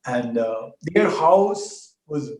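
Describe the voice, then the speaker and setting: A man's voice: medium pitch, lively intonation, extremely slow, darkish tone. An older man, an interview guest, chattily recounting a family trip and often echoing his wife's words. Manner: chatty, repeats and finishes his wife's sentences. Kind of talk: personal testimony in conversation.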